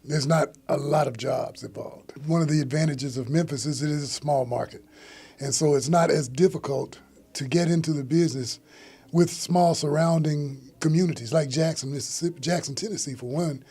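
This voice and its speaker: Very husky, mellow man being interviewed. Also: a little high, normal speed. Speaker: local broadcaster interviewee. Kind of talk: unscripted interview answer.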